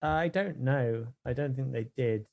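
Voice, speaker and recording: A man chatting casually on a podcast, halting and repeating words as he explains things. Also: medium pitch, vocal fry, darkish tone. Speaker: podcast co-host. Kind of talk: casual chat.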